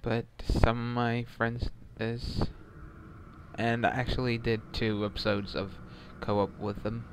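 A young man talks through a horror game at an unhurried, drawn-out pace, muttering reactions and asides to viewers. His voice sits at an ordinary middle pitch. A steady hiss of noise runs under his headset recording from home. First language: Swedish. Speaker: gamer. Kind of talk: let's play commentary. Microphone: headset mic.

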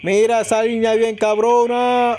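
A man, narrating a haircut in his small barbershop in an excited, slangy way, repeating words for hype. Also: very high voice, unhurried, soft background music. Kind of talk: casual haircut vlog narration.

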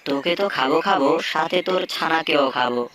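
A woman voicing a sly, greedy male fox in a children's animated folk tale, wheedling and menacing. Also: low-pitched, normal speed, a crowd in the background, natural voice. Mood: greedy menace.

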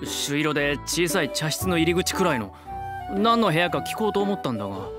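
A man's voice: fairly high pitch, animated, fairly quick, music in the background, natural voice. An actor playing a bored, laid-back young man who mutters and grumbles in blunt, casual speech in an anime drama. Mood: grumbling.

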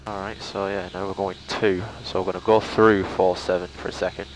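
A man's voice: low-pitched, flat delivery, brisk. A young adult man talking casually as a student pilot during flight training, speaking into a headset in a small plane. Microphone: aviation headset boom mic. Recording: headset intercom with engine noise.

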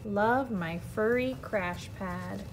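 A woman's voice: medium pitch, slow pace, background noise. An American woman, around her thirties, reads a children's picture book aloud like a teacher, expressively and carefully, with friendly asides to young listeners. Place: indoor classroom.

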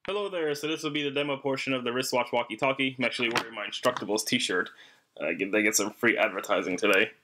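A man's voice: mid-range voice, fast talker, pushed and bright, poor audio. A young man giving a casual, informal tutorial walkthrough as a hobbyist demonstrator, a little hesitant as he goes. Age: young adult. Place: small indoor room at a desk. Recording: faint background noise, clicks.

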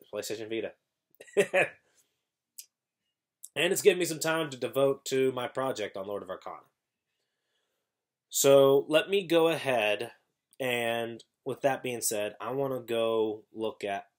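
A young man vlogging at home to his webcam, sounding congested with an irritated throat, rambling with many restarts and self-corrections. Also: on the low side. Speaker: YouTuber. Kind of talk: casual vlog talk to camera. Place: small room at home, indoors.

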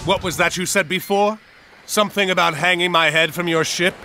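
A man voicing a resolute, commanding ancient Greek admiral in a video game, speaking in measured tones. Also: sits high, fairly even intonation, noisy background. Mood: taunting. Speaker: game character, military leader. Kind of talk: video game cutscene acting. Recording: music under the voice. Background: game soundtrack music.

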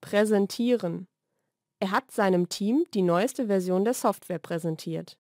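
A woman reads short scripted vocabulary lesson sentences in a clearly enunciated, measured way. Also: medium pitch, warm tone, no echo, clean studio sound. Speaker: language lesson narrator. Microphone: studio voice-over recording.

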